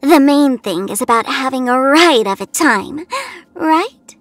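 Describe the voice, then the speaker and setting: Playful, dangerous young woman trickster for a game: high-pitched, very animated, teasing and theatrical. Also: unhurried, dry recording. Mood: playful, cheeky. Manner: teasing, theatrical, sing-song. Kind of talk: video game character voice acting.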